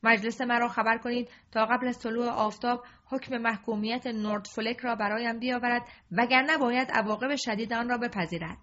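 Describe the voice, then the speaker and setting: A woman voicing an aging male king who is commanding and fatherly, with a husky voice on a dull lo-fi recording. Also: a little high, very animated, voice pushed up. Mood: wrathful. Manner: imperious, loud commands.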